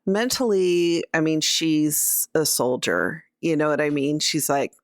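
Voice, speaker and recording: A woman chats casually on a podcast at a very unhurried pace. Her voice is somewhat low, and the sound is close and dry. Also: lively intonation, no echo. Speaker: podcast cast member. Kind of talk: casual chat.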